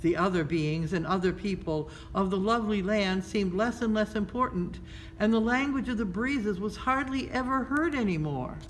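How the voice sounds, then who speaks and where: A woman's voice: mid-range voice, moderate pace. Woman reading a children's story, noisy recording. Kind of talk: read-aloud children's storytelling. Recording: noticeable background noise.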